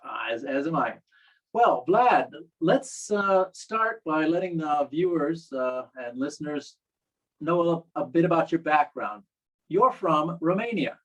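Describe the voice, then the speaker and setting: A man hosting an interview, polite and measured, with occasional fillers. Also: a little high, animated, rough recording. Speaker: interview host.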